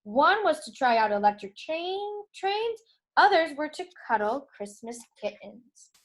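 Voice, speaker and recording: A woman with a high-pitched voice narrates a children's storybook. She takes her time over each phrase, keeping it simple and deliberate for young listeners. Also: sing-song. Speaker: read-aloud storyteller for children.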